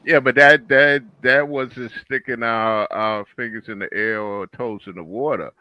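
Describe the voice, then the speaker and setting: A man's voice: medium pitch, unhurried, bright, forceful tone, no echo. A man in a heated panel discussion, speaking emphatically and repeating his phrases for emphasis.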